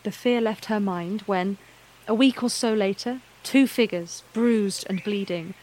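A woman narrator reading a scripted fantasy tale in a measured, literary, wryly understated manner. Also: medium pitch, darkish tone, slight background noise, poor audio.

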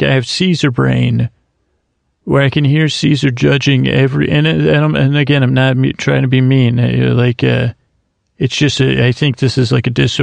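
Male podcast host, very gravelly, flat delivery, rambling monologue, close dry mic. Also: medium pitch, dry recording. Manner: meandering, self-interrupting, many restarts.

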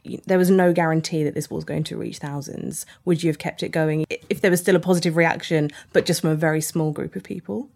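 A woman's voice: low-pitched, fairly even intonation, quick pace, vocal fry, few pauses, darkish tone. A woman presenting a podcast, putting questions to guests in a conversational interviewing style.

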